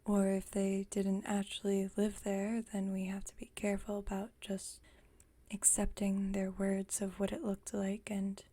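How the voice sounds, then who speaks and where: A woman playing a gentle, wry, nostalgic vampire roommate in an ASMR roleplay, in a soft, breathy whisper and an unhurried manner. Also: medium pitch, monotone. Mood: wry, musing.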